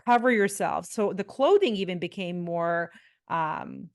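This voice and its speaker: A woman's voice: fairly low pitch, animated, a little slow. A woman in her forties from India, a pelvic physiotherapist talking as a podcast guest in a chatty way, full of fillers and restarts. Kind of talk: casual interview talk.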